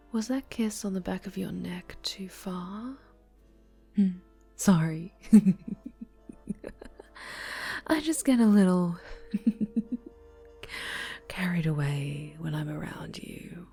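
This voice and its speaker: A woman's voice: fairly low pitch, very slow, gravelly, long pauses, husky, soft background music, exaggerated voice. A woman playing an obsessive winged superheroine captor in an ASMR roleplay, murmuring with doting, condescending tenderness and slipping into whispers. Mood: tender, teasing. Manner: soft, cooing, condescending tenderness, drifting into whispers.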